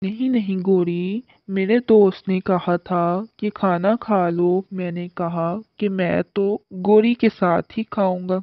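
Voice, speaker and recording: A woman plays a sly, lovestruck male crow from a cartoon story. The crow talks slowly and coaxingly, eager to please. The sound is echoey and muffled. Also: mid-range voice, fairly even intonation, natural voice. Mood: placating. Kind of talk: Hindi cartoon story dialogue. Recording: muffled lo-fi cartoon audio with echo.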